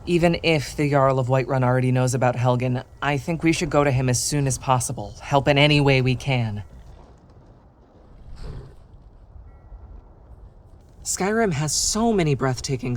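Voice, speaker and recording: A man's voice: on the high side, lightly acted. A man voicing a laid-back, self-deprecating orc writer and reluctant adventurer in a video game, with a wry, hesitant, understated delivery. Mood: musing. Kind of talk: casual video game companion dialogue. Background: music behind the voice.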